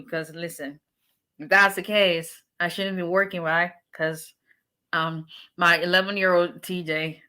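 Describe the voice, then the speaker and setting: Bright-voiced woman chatting live. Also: lowish voice. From Nigeria. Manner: chatty, greets viewers by name, encouraging and preacher-like. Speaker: immigration attorney. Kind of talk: casual livestream chat.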